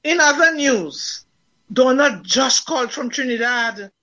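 A woman acts as a sceptical older Dominican villager in a drama. Her high voice takes its time, dry and mocking, teasing with a Caribbean accent. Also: animated, unhurried, bright, forceful tone, full character voice, rough recording. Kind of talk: dramatised dialogue in Caribbean creole English.

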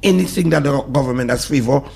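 A man with a Dominican accent, a guest on a talk-radio show, speaking in emphatic, animated bursts that drop into low mutters. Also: fast talker, vocal fry. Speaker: studio guest. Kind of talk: passionate talk-radio discussion.

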